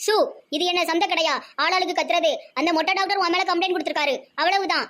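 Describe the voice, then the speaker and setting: A woman's voice: very high voice, fairly even intonation, fast talker, a bit bright. A woman voicing a bossy, scolding female in a comic cartoon, with a Tamil Nadu accent. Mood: stern, scolding. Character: bossy, scolding women: policewoman and village neighbours.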